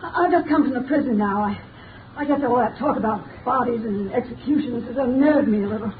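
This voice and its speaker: A woman's voice: on the high side, a little fast, close to an everyday voice. A woman playing an anxious, loyal lady assistant with hesitant delivery in 1930s radio style. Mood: nervous, earnest. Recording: tinny, noisy, distant 1930s radio.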